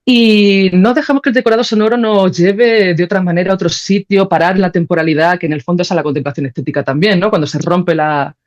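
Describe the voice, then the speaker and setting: A woman's voice: fairly low pitch, fairly even intonation, quick pace, hardly any pauses, a little mellow, highs cut off. A woman with an accent from Spain, explaining ideas in a casual, colloquial conversation as a podcast guest, thinking aloud. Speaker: podcast guest, composer and sound creator.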